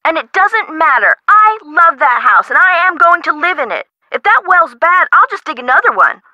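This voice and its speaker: A woman's voice: very high-pitched, normal speed, dry recording, muffled recording. An actress playing an emotive, chatty woman homeowner in an adventure video game. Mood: indignant, determined. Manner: emotive, expressive, colloquial. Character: frightened, dramatic woman homeowner, chatty and friendly. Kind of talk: game character dialogue acting.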